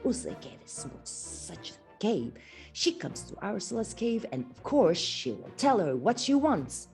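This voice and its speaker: Woman, children's storyteller, dramatic fairy-tale narration, rhetorical questions, animated pitch, faint music behind. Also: measured pace, vocal fry.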